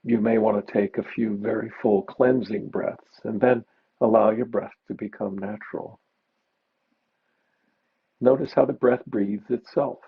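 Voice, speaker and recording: Gravelly, low-voiced man guiding meditation. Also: fairly even intonation. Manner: gentle, unhurried instruction with pauses. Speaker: meditation teacher. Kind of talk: guided mindfulness meditation. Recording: dull, muffled lo-fi recording.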